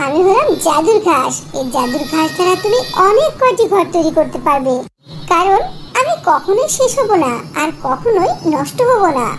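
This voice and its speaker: Very high-pitched kindly magic spirit. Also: female voice, low-quality recording. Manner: reassuring, declarative. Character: talking magic grass, kindly reassuring spirit. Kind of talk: cartoon fairy tale voice acting.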